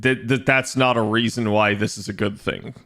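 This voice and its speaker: Bright-voiced man streaming casually. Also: fairly low pitch, steady tone, moderate pace. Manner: rambling, thinks aloud with restarts, reads chat. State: slightly raspy voice. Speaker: game streamer. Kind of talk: casual livestream chat.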